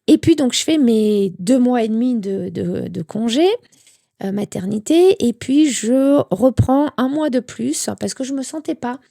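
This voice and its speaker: A woman in her thirties recounts her experience as an interview guest. Her voice sits a little high but sounds mellow and warm, and she slips into the voices of others as she quotes them. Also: measured pace, gravelly. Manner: animated storytelling with quoted dialogue. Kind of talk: candid personal story.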